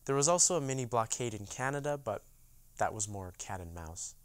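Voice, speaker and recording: A man's voice: fairly low pitch, expressive, a little fast, no echo, lightly acted. A young man playing a proud union boycott veteran in a theatrical, first-person storytelling monologue. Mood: reflective, proud. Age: young adult. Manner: theatrical storytelling, acts out dialogue. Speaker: actor in oral history dramatization.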